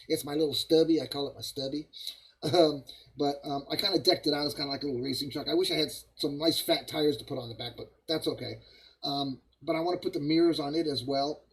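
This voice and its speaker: Guy on a hobby livestream, kinda froggy from a cold, higher voice, rambles and says hi to chat. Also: fast talker, a bit bright, low-quality recording. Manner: rambling, friendly, greets viewers by name. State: hoarse from a cold, coughing. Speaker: live stream host. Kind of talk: casual live-stream chat while model building.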